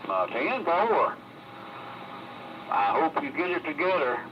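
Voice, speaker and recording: A man's voice: on the high side, very animated, slow pace. A man with a Southern US accent chatting casually over a CB radio transmission. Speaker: CB radio operator. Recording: dull, noisy CB radio signal.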